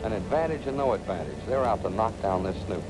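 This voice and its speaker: A man's voice: fairly low pitch, animated, quick pace, rough recording. An American man narrating a 1940s military training film in a punchy, colloquial, dramatic storytelling manner. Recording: 1940s film soundtrack with music.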